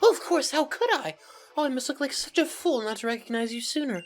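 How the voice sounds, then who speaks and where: A woman's voice: sits high, animated, quick pace. A woman voicing a polite, timid, earnest young woman from a video game, speaking courteously. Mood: flustered, grateful. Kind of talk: video game character reel.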